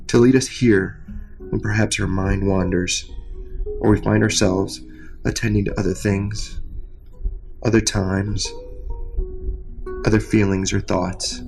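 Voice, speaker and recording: Male meditation coach, low and creaky, soothing measured delivery with long pauses over soft music. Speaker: meditation coach, nurse practitioner. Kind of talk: guided meditation, scripted instruction.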